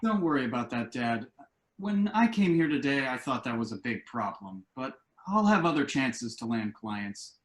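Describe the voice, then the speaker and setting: An actor playing a dry, wry and somewhat discouraged adult son and husband in a table read. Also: male voice, medium pitch, big pitch swings, normal speed, close to an everyday voice. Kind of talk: stage comedy-drama table read. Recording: echoey room on online call.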